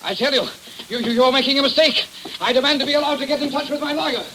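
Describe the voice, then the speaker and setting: A man's voice: extremely high pitch, fairly even intonation, fast. An actor playing a frightened, indignant arrested man who stammers his protests in a 1940s radio drama. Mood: panicked, indignant. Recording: hissy vintage radio recording.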